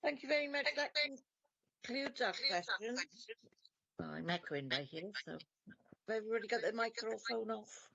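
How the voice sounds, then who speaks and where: Woman, Welsh accent, gravelly husky voice, brisk chairing, dry lo-fi audio. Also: on the high side, animated, fairly bright tone. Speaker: committee chair. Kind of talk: chairing a council meeting. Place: video call.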